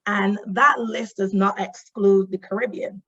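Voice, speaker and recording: A woman's voice: mid-range voice, fairly even intonation, measured pace, fairly bright tone, slightly rough. Barbadian woman presenting on a muffled video call. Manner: explanatory, often adds "right?" and "you know". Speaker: social worker speaking on a webinar panel. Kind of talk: webinar presentation.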